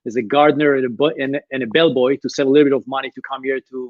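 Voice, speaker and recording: A man's voice: mid-range voice, level tone, very fast, no echo, narrow, tinny audio. A middle-aged man with an Israeli accent, a guest in an interview telling his story earnestly and asking rhetorical questions. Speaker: guest, business founder. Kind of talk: interview conversation.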